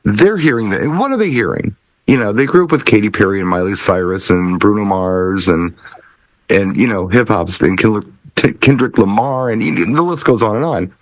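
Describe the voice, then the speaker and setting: A man's voice: fairly low pitch, expressive, moderate pace, no room sound, low-quality recording. A middle-aged man talking casually on a discussion panel. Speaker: DJ and panel member.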